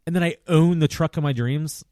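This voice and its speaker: A man's voice: on the high side, fairly quick, a little mellow, no room sound, clean studio sound. A man in his late thirties hosting a casual chat, talking in a rambling, easygoing way and laughing often. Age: 30s. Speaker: podcast host.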